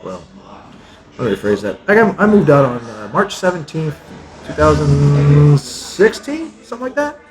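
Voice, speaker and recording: A man's voice: fairly high pitch, very animated, normal speed. A man in his forties from Texas, a soldier talking about his life in a casual podcast chat, blunt and jokey, swearing freely. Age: 40s. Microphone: podcast mic.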